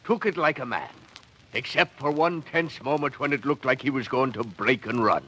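An actor playing a genial Irish-American beat cop who delivers jokes slyly, in 1940s radio comedy style. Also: male voice, medium pitch, normal speed, pushed and bright, slight background noise, close to an everyday voice, low-quality recording. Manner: sly, joking.

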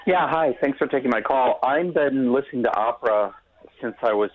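A man calling into a radio show, speaking in a halting, hesitant way. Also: mid-range voice. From Wisconsin, US. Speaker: caller. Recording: tinny phone line.

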